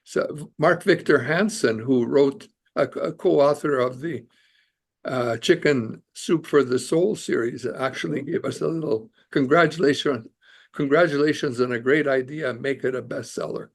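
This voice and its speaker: A man's voice: medium pitch, unhurried, creaky. An older Canadian-born man, an immigration lawyer and author, talking earnestly as a podcast guest with many fillers and restarts. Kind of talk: casual interview conversation.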